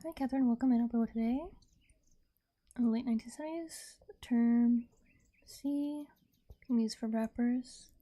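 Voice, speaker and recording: A woman's voice: on the high side, steady tone, normal speed, long pauses, mellow. A woman chatting casually as she hosts a livestream, talking to her viewers.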